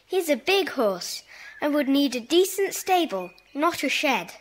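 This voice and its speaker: A woman's voice: high-pitched, sing-song, unhurried. A woman playing an eager, emphatic schoolchild in a children's audio drama. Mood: determined. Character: eager, indignant, kind schoolchild.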